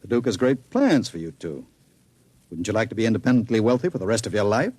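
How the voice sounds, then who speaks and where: An actor playing a bossy, smooth-talking gangster with a sardonic, commanding manner in an old-time radio drama. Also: male voice, lowish voice, very animated, quick pace, warm tone, no echo. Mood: coaxing, smug.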